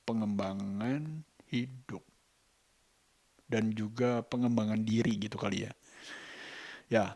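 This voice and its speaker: A man with a colloquial Jakarta Indonesian accent talks reflectively and casually to camera as a vlogger, halting and thoughtful as he searches for words. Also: on the low side, dark tone. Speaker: vlogger and parent.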